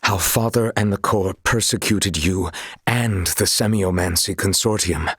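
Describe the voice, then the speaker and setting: An actor playing an earnest, courtly young sailor and swordsman of noble family in a video game, speaking in formal, old-fashioned phrasing with a husky voice. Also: low-pitched, a little slow, fairly bright tone, no room sound, studio quality, heavily acted. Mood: earnest, troubled.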